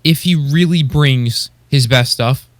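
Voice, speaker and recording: A man's voice: medium pitch, slow pace, no echo. A man co-hosting a podcast, chatting casually in a tentative, hedging way. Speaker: podcast co-host. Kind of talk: casual chat about beatbox competitors.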